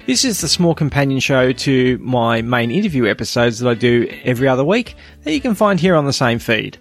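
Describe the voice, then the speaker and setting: A man with an Australian accent hosting a podcast, reading a scripted review. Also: mid-range voice. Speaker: podcast host.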